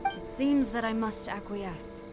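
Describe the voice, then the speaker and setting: A woman's voice: a little high, measured pace, close to an everyday voice. Brief: actress as a strict lady general, formal and clipped, game music behind. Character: stern female military general, proud and strict. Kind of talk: video game dialogue.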